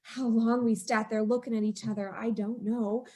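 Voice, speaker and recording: A woman narrating her own story in an old-time radio drama, in a tense, haunted voice that climbs to a frantic pitch. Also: little pitch movement, moderate pace, dark tone. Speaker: narrator and lead character.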